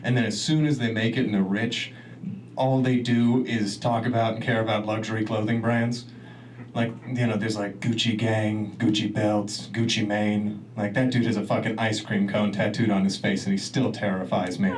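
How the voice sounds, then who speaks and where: A man's voice: fairly low pitch, level tone, normal speed. A man doing a stand-up comedy set in a club, rambling and laughing at his own jokes. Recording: distant mic, noisy club room.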